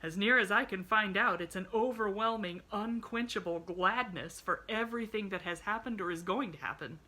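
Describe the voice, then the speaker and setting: A woman's voice: medium pitch, normal speed, bright, forceful tone, pitched above the performer's own voice. A woman voicing a whimsical, bemused male doctor in a storybook, musing wryly. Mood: wry, amused.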